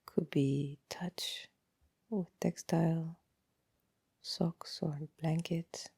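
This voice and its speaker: A woman guides a body scan meditation in a lowish, husky voice, recorded close and dry. She is calm and unhurried, leaving long pauses between gentle instructions. Her soft, breathy delivery often drifts toward a whisper. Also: no echo.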